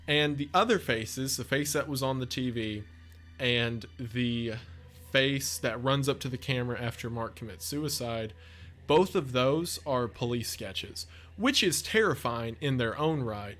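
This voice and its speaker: Enthusiastic chatty man, medium pitch. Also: a little slow, a bit bright. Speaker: video essay creator and narrator. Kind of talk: casual off-the-cuff video commentary.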